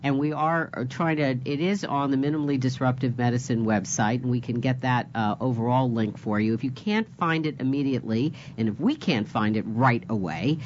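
Low-voiced woman moderating a webinar. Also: fairly quick, hardly any pauses, darkish tone. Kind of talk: moderating a panel discussion. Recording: dull lo-fi audio with faint noise.

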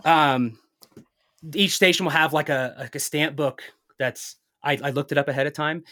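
A man's voice: on the high side, fairly even intonation, normal speed, sharp tone. A man speaking native English in a casual chat as a podcast guest, telling things in a rambling, storytelling way.

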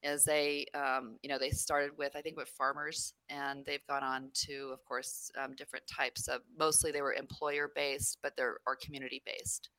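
A woman's voice: rumbling low, little pitch movement, moderate pace, hardly any pauses, pushed and bright, dry recording, low-quality recording. A woman from New Mexico, a credit union CEO, answering interview questions in a rambling way with many fillers.

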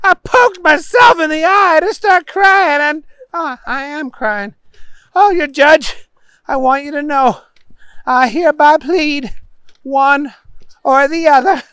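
Comic story role, acted by the narrator: muddle-headed, earnest male defendant, high, sharp voice, bumbling and emphatic delivery. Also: animated, takes it slowly, dry recording, full character voice. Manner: bumbling, emphatic, mixes up simple words.

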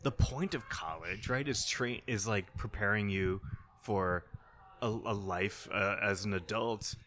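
A man with a fairly low voice, working as a podcast host. He speaks conversationally, as an interviewer talking with a guest. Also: unhurried, fairly bright tone, slight background noise. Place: hotel lobby.

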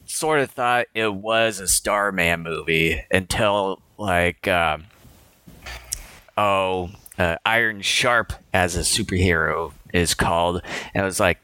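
A man co-hosting a film podcast, talking casually in a halting way with many fillers. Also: sits low, bright, forceful tone, clean tone, no room sound. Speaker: podcast co-host. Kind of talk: casual chat.

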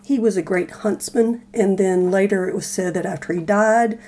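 A woman co-hosting a casual podcast, explaining things in a halting, thoughtful way. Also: medium pitch, dark tone. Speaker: podcast co-host.

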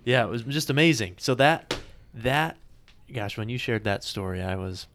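A man's voice: mid-range voice, animated, normal speed, gravelly, a bit bright, slight background noise. A man in his thirties hosting an interview, talking casually with many fillers and restarts. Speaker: podcast host.

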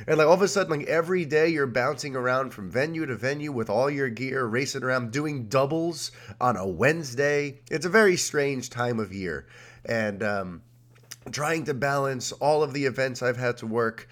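A man's voice: mid-range voice, moderate pace. A man hosting a podcast in a casual, rambling monologue, his voice nasal and congested from a cold. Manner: chatty, rambling, energetic. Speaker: podcast host.

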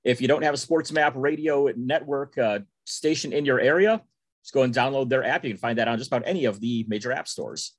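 A man from Texas co-hosts a sports podcast and talks in a relaxed, easygoing way. His voice sits at an ordinary middle pitch. The recording sounds dry and close. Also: a little fast, dry recording. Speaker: podcast co-host. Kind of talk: casual sports podcast chat.

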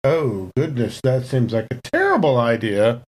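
A man plays a tabletop game and talks it through casually. His voice sits at an average male pitch, and his delivery is wry and jokey. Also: sing-song, normal speed, husky.

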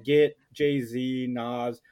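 A man's voice: mid-range voice, flat delivery, drawn-out words, a little mellow. A man speaking as a podcast guest in casual, opinionated chat.

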